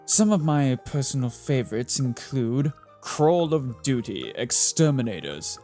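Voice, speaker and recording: A man's voice: medium pitch, big pitch swings, unhurried, mellow. A man narrating a scripted facts video in a chatty, theatrical way, with playful asides. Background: background music.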